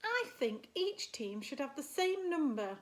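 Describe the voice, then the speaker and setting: A woman's voice: high-pitched, very animated, unhurried, voice pushed up. A woman voicing a curious, earnest and wistful little girl spider in a children's storybook. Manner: earnest, questioning, plaintive.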